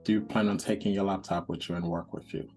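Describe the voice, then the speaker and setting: A man's voice: low-pitched, fairly even intonation, fast talker, a little mellow, faint music behind. A man hosting a podcast as a therapist, talking in an encouraging, easygoing way. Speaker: therapist host.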